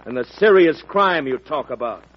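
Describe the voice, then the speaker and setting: A proud, defiant working husband in a vintage radio play. His voice is husky and slightly high, with bitter rhetorical phrasing, on a muffled recording. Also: animated, moderate pace. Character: defiant, honest working family man facing arrest. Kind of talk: old-time radio drama acting.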